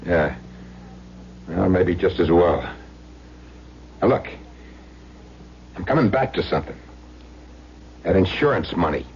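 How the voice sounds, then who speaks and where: Menacing crook ex-husband in an old radio play, tough slangy threats, dull hummy sound. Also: male voice, sits low, animated, slow pace, pauses often, exaggerated voice. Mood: menacing, sly. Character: menacing gangster ex-husband, bullying and desperate. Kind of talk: old-time radio drama acting. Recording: muffled, noisy old radio recording with hum.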